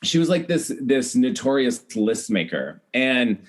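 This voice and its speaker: A man's voice: medium pitch, sing-song, measured pace. A middle-aged American man telling personal stories as a podcast guest, chatty and emphatic, often acting out what people said. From Iowa, United States. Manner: chatty, acts out quoted remarks, emphatic repetition. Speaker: podcast guest, celebrity hair stylist. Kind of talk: animated personal storytelling in a podcast interview.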